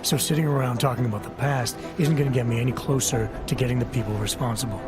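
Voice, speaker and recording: A man playing a gruff, guarded augmented counter-terrorism agent with a low, gravelly voice and terse deadpan delivery in a video game. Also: fast, close to an everyday voice. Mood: firm, serious. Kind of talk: video game cutscene acting. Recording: loud music behind.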